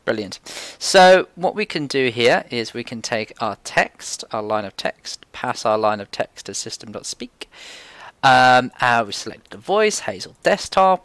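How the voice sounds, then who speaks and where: A man's voice: fairly low pitch, animated, measured pace, a bit bright, no room sound. A man presenting a casual screencast coding tutorial, informally thinking aloud as he works.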